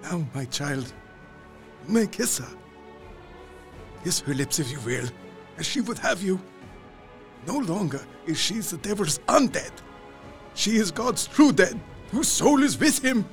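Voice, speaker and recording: Guy acting as solemn fatherly old professor, radio drama, gravelly voice, music behind. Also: sits high, very animated, measured pace, pauses often, full character voice. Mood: tender, solemn, then solemn, exalted. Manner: grave, rhetorical, emphatic. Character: solemn, fatherly older professor leading vampire hunters.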